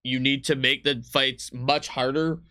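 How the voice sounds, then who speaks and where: A man's voice: medium pitch, steady tone, a little slow, pushed and bright. A man casually explaining tabletop game rules in frank detail, as a designer on a livestream.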